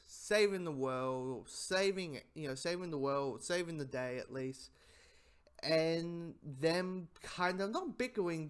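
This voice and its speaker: A man giving a casual spoken review in a rambling, halting way, often repeating his phrases. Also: on the high side.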